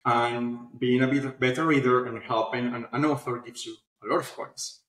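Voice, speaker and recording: A man's voice: mid-range voice, steady tone, moderate pace, fairly bright tone. Man explaining in a roomy, echoey space. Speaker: founder of a publishing platform, podcast guest. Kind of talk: conversational interview explanation. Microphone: video call. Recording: roomy echo, online video call.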